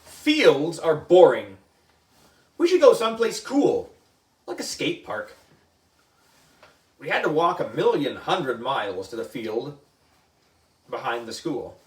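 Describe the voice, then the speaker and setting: A man reading a children's story aloud in an animated narrating style. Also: medium pitch. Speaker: book club reader. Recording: echoey room, distant mic.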